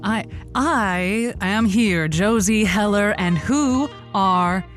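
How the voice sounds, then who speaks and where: A woman's voice: medium pitch, takes it slowly, over music, lightly acted. A woman playing an eager, inexperienced late-night radio host who rambles and stammers with chirpy politeness in an audio comedy. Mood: nervous, welcoming. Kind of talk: audio comedy drama acting.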